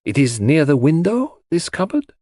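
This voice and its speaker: A man's voice: on the high side, big pitch swings, normal speed, warm tone, no room sound, clean studio sound, pitched above the performer's own voice. A man voicing a small, secretive detective in an audiobook, polite, inquisitive and nonchalant. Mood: politely curious.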